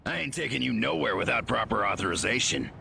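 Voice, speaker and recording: An actor playing a gruff, folksy military boatman in a video game, brusque in his manner. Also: mid-range voice, lively intonation, fast, bright, forceful tone, slight background noise, full character voice. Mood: brusque, leering. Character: gruff fast-talking human military man guarding a boat. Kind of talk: video game cutscene acting.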